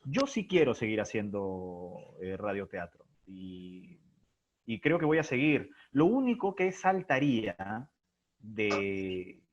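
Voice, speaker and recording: A man's voice: fairly low pitch, sing-song, slow pace, slight background noise. A man speaking reflectively and expressively as an interview guest, thinking aloud as he explains. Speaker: interview guest, theatre playwright and director. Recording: muffled lo-fi online call.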